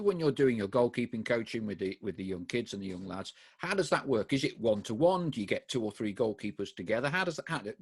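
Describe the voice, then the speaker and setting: Husky man host, lively pitch. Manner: questions with tag endings, stumbling restarts. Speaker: podcast host. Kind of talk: interviewing, casual.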